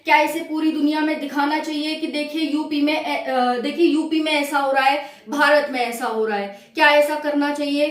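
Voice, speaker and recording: Casting: woman, high voice, insistent questioning explainer style, recorded with noticeable room echo. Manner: insistent, piles up rhetorical questions. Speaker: exam-news presenter for job aspirants. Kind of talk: informal commentary and explainer. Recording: roomy, echoing sound.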